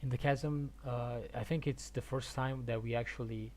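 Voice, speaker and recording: A male game streamer from Argentina talks casually in a medium-pitched voice. He rambles and thinks aloud, often doubling back on his words. There is slight noise behind him. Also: steady tone, moderate pace, a little mellow. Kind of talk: casual rambling stream chat about games.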